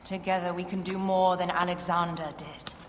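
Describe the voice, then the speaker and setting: An actress playing an ambitious, cutting queen with a regal, deliberate manner in a game cutscene. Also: lowish voice, flat delivery, long reverb tail, slight background noise, natural voice. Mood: composed, assured. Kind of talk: dramatic video game cutscene acting.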